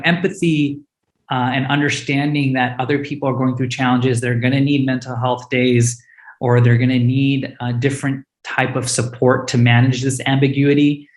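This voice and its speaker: A man's voice: mid-range voice, echoey room. A man, a startup founder with Hindi as his first language, answering panel questions earnestly and openly, with frequent fillers and restarts.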